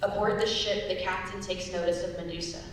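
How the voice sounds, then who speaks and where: A woman's voice: fairly even intonation, brisk. A young woman reading stage directions as scripted narration at a dramatic reading. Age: young adult. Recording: very echoey hall, distant mic, hiss and rumble.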